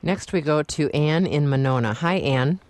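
A man hosting a radio call-in show, with a hesitant, halting way of putting questions, close on a studio microphone. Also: fairly high pitch, darkish tone, no echo.

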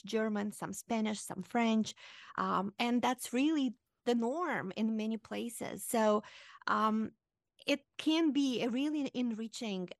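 A woman from Moldova with a Romanian accent, a radio guest and author explaining ideas clearly with frequent fillers. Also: on the high side, no room sound, studio quality. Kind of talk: radio interview.

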